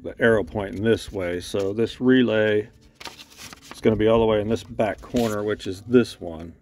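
A man's voice: on the low side, normal speed, slight background noise. A middle-aged man casually narrating a repair job on a pickup truck, talking as he works with his hands. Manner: relaxed, talks while working with his hands. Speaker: mechanic showing a repair. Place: inside a pickup truck and at its engine bay outdoors.

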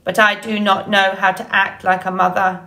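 A woman's voice: medium pitch, level tone, pushed and bright, poor audio. A woman playing a friendly female robot in an audiobook story, speaking with formal, earnest politeness. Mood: polite, unsure. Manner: friendly, measured, formal without contractions.

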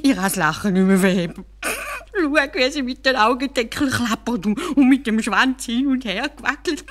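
Woman as an excitable, exclaiming stork caliph. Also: on the high side, normal speed, voice pushed up, close to an everyday voice. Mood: amused, bursting. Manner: exclaiming, emphatic. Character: male caliph turned stork, excitable, lamenting. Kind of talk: children's fairy-tale storytelling.

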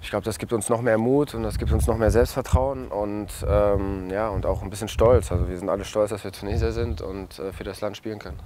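A young man from Germany answers questions outdoors at a sports ground in a loose, easygoing way. His voice sits on the low side and he often pauses with fillers. Steady outdoor noise runs behind him. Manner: relaxed, many fillers and restarts. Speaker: interviewee, footballer. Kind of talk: casual interview answers. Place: outdoors at a stadium and a café terrace.

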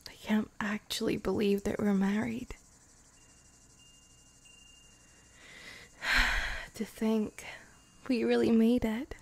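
A woman voicing a loving young vampire girlfriend in an intimate, improvised ASMR roleplay, tender and hushed, often slipping into whispers, close on the microphone. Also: a little high, level tone, a little slow, creaky. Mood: tender, wistful. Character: loving young vampire girlfriend, playful yet afraid of loss.

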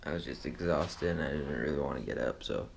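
A young man in his twenties talking casually to a handheld camera, relaxed and drowsy, trailing off with uhs. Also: extremely low pitch, a little fast, creaky, slight background noise. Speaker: vlogger. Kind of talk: casual vlog chat. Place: house room and car, indoors. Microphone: action camera held at arm's length.

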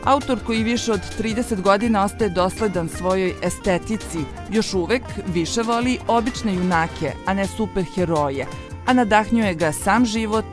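Female narrator, mid pitch, scripted promo read over clear music. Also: normal speed.

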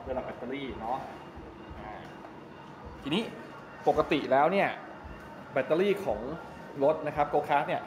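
A man's voice: fairly high pitch, expressive, a little slow, pauses often. Chatty man in a noisy, echoey hall. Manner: chatty, explanatory, rambling. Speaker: vlogger giving a tour. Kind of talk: casual walk-through explanation to camera. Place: large open-sided go-kart pit hall. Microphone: 360 camera at arm's length. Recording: roomy echo, loud ambient noise.

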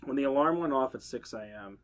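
A man's voice: mid-range voice, expressive, fairly quick. A man on a podcast reading part of a horror story aloud, with occasional quips. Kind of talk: casual reading with banter.

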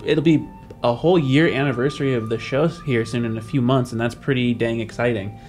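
A man speaks as a podcast host in a loose, conversational style. His voice sits at a medium pitch, with music playing behind him. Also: steady tone, a little fast, a little mellow. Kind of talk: casual chat.

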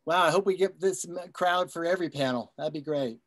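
Higher-voiced man, dry sound, self-effacing. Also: a little fast, a bit bright, dry recording. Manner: self-effacing, joking, appreciative. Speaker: poet who has just given a reading. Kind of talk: casual chat after a poetry reading.

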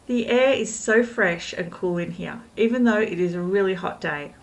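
A middle-aged woman narrating a travel vlog voice-over, calm and informative, giving tips and recommendations. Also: on the low side, moderate pace. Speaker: travel vlogger. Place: outdoors at a riverside city park.

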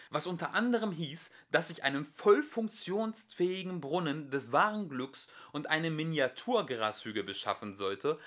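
Male amateur audiobook reader. Bright tone, very animated melody, theatrical emphasis on a scripted storybook text. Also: fairly high pitch. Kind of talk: scripted storybook narration, reading aloud.

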